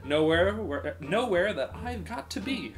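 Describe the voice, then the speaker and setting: Man putting on a sing-song, high-pitched cheerful minstrel. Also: expressive, measured pace, faint music behind. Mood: jolly, rambling. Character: relentlessly cheerful, chatty wandering minstrel man.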